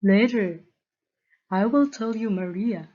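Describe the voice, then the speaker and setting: A woman voicing a nervous, lovesick young man in a cartoon story, earnest and halting. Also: medium pitch, mellow. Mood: nervous, eager. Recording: dull, lo-fi voice recording.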